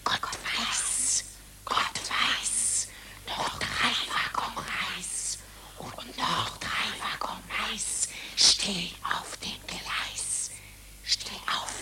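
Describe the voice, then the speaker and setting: Sly gloating war profiteer, hissy whisper, super slow chanting, radio drama. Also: female voice, mid-range voice, sharp tone, exaggerated voice. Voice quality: hissing whisper. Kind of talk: stylized rhymed radio drama.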